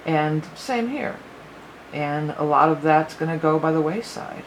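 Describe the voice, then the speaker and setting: A woman's voice: low-pitched, expressive, measured pace, poor audio. Woman, psychic astrology reader, slightly worn voice, rambling, lots of fillers, faint background hiss. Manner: rambling, thinks aloud with many fillers. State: losing her voice a little. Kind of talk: casual spoken astrology forecast.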